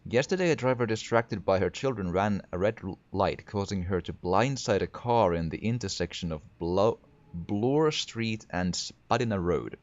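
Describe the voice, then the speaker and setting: Man, Finnish accent, very low pitch, dull dry sound, thinks aloud and restarts words. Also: animated, moderate pace, hardly any pauses, dry recording. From Finland. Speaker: gaming video creator. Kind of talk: horror game let's play commentary.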